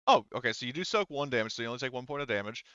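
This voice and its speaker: A man running an online tabletop roleplaying game as game master, talking casually and thinking aloud with hesitant pauses. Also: mid-range voice, expressive, pushed and bright, dull audio.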